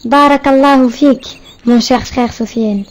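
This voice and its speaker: A woman's voice: high-pitched, steady tone, measured pace. A woman voicing a friendly, courteous, grateful young boy in an educational cartoon. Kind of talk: didactic cartoon dialogue.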